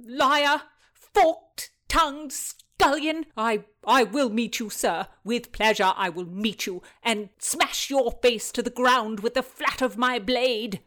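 Woman acting indignant, high-pitched young Tudor gentleman. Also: measured pace, few pauses, natural voice. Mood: furious. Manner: exclamatory, spluttering outbursts. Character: indignant, whining young gentleman, prone to blubbering. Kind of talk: dramatized historical fiction reading.

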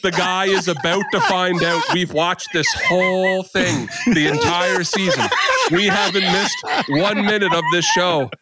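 A man's voice: sits high, big pitch swings, unhurried, heavy background noise. A man co-hosting a podcast, telling a story in casual chat, laughing and acting out the quotes. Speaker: podcast co-host.

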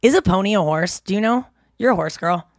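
A woman hosting her podcast in casual, rambling chat, a little hoarse, with lots of fillers and restarts. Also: medium pitch, animated, brisk, no room sound, clean studio sound. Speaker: podcast host.

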